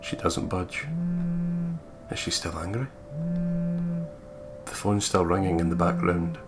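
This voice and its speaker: A man's voice: low-pitched, steady tone, a little slow, background noise. A man co-hosting a let's play, reading game text aloud in a playful, theatrical way with sweary asides.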